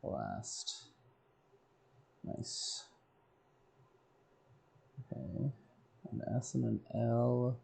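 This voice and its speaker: Gravelly, mellow-voiced man thinking aloud. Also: mid-range voice, big pitch swings, very slow, pauses often. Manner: muttering to himself in short fragments. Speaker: game streamer. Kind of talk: casual livestream chat, thinking aloud.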